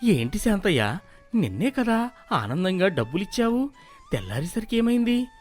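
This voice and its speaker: A man voicing a gentle, humble middle-aged village father in a cartoon moral story, soft-spoken and earnest. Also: high-pitched, very animated, moderate pace, faint music behind. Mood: hurt, pleading.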